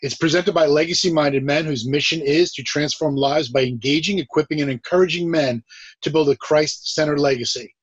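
A man hosting a conversational interview podcast, with a husky voice. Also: a little high, fairly quick, muffled recording. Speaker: podcast host.

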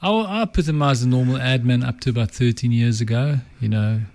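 A middle-aged South African man with an Afrikaans accent, a podcast guest talking calmly and reflectively about his life. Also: medium pitch, a little fast. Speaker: guest, advertising entrepreneur and author. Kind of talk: reflective interview talk.

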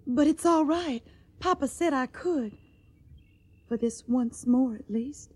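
An actress playing an earnest, devoted young Tennessee daughter in a radio drama, with a soft, breathy, tender way of pleading. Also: female voice, high voice, slow pace, heavily acted. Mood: eager, tender.

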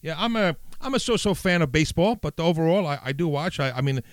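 A man's voice: on the high side, lively intonation, fast, no echo. A man who grew up in Italy, hosting an interview with upbeat praise and frequent fillers. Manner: enthusiastic, praising, many fillers. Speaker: podcast host.

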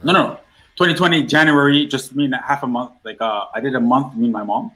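Middle-aged man, from Guyana, talk show guest, casual chat, average pitch. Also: steady tone, a little fast, a bit bright, poor audio.

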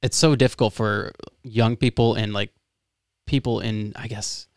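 A man hosts a casual interview podcast in an informal, chatty way. His voice sits low with plenty of vocal fry, and the sound is dry. He peppers his sentences with "like". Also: steady tone, measured pace, dry recording. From West Palm Beach, Florida, United States. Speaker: podcast host.

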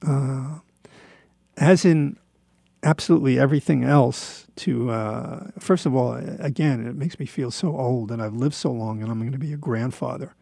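Gravelly man in his seventies, reflecting aloud. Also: mid-range voice, warm tone, no room sound, studio quality. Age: 70s. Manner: halting, reflective, self-deprecating. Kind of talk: rambling personal monologue.

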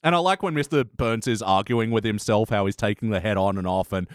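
A man hosting a podcast with casual, animated review banter and a little mild swearing. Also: lowish voice, brisk, no echo, clean studio sound. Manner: animated, conversational, mildly sweary. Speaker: podcast host.

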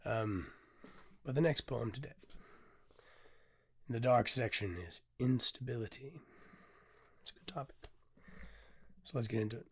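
Man, hoarse voice, tired, casual podcast host, candid, swears, lots of ums. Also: mid-range voice, measured pace, long pauses. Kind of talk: casual solo podcast talk.

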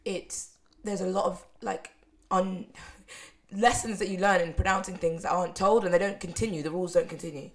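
A young woman from the UK co-hosting a casual podcast chat, animated and laughing often. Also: lowish voice, normal speed. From United Kingdom. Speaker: podcast co-host.

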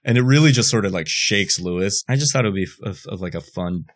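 Man, podcast co-host, casual movie chat, lowish voice, easygoing. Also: fast talker. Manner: relaxed, laughs often, many fillers.

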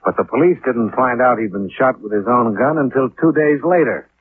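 Actor as cool 1950s radio detective, tinny recording. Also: male voice, mid-range voice, moderate pace, slight background noise, close to an everyday voice. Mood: cool, accusing. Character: shrewd, unflappable male insurance investigator, dryly relentless. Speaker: lead actor of a detective series.

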